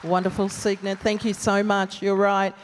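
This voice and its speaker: A woman's voice: mid-range voice, little pitch movement, moderate pace, slight background noise. A woman moderating a live panel, thanking speakers graciously and posing questions. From Australia. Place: large hall at a live event. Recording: reverberant hall.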